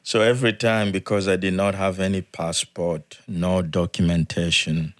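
Man, very low voice, halting soft-spoken delivery, dry podcast studio. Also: little pitch movement, no room sound. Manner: halting, soft-spoken, frequent restarts and light laughs. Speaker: interview guest. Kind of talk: casual interview, life story.

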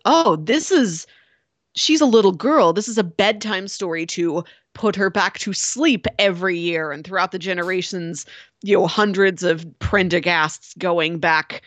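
A woman's voice: lowish voice, normal speed, a bit bright, no room sound. A woman co-hosting a casual film discussion, acting out imagined lines of dialogue as she explains. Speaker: podcast co-host discussing films.

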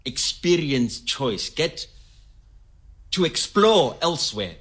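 An actor playing an earnest, philosophical man, speaking haltingly and deliberately in an interview-style audio drama. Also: on the high side, sing-song, fairly bright tone, full character voice. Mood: earnest, urging. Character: Zimbabwean man, earnest, philosophical, talkative filmmaker. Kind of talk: scripted oral-history audio drama told as interview.

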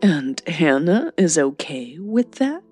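Woman playing a blunt, caring mother, slow and mellow. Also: low voice, big pitch swings, slightly rough, faint music behind, heavily acted. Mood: probing, concerned. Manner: blunt, emotional. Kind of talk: audio drama dialogue.

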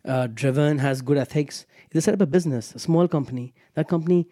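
A man, a teacher chatting frankly and with animated emphasis as a podcast guest. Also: fairly high pitch, fast talker, no echo, clean studio sound. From Pakistan. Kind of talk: casual podcast conversation. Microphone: headphones on, studio mic.

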